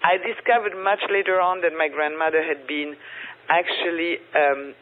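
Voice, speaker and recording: A woman's voice: low-pitched, fairly even intonation, moderate pace. A middle-aged woman with a French accent, a guest telling her story in a meandering, thoughtful way. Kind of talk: casual interview. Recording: tinny phone-line audio.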